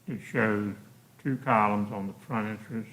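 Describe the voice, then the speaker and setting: A man speaks as a commission member at a public meeting. He puts informal questions to a presenter. His voice is a little low. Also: a little slow, a bit bright, rough recording.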